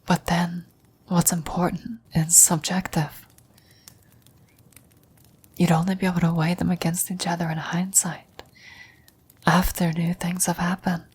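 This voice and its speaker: A woman playing a gentle, wise, dying old woman in a whispered, breathy, solemn ASMR roleplay. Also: fairly low pitch, level tone. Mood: grave, questioning. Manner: slow, solemn, measured delivery.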